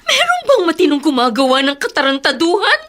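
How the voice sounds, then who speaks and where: Betrayed older sister, Filipino accent, anguished, accusing, very high sharp voice, radio melodrama. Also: female voice, wildly swooping pitch, brisk, full character voice. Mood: bitter, sarcastic. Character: furious, wounded elder sister who sacrificed for her sibling. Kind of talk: Filipino radio drama acting.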